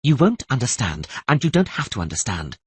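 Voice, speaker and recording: A man's voice: medium pitch, huge pitch swings, brisk, slightly rough, no echo, heavily acted. A man voicing a commanding sorceress in a fantasy audiobook, urgent, insistent and defensively righteous. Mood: defiant urgency. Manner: insistent, self-justifying.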